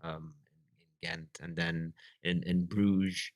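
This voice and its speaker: A Flemish man from Belgium whose first language is Dutch, chatting casually on a live stream, halting and full of fillers. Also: low voice, little pitch movement. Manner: halting, lots of fillers and restarts. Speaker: livestreamer.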